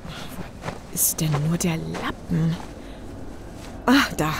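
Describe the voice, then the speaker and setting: An actress playing an anxious, hurried middle-aged mother in a horror audio drama, jumpy in manner. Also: low voice, expressive, gravelly, background noise, full character voice. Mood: worried muttering.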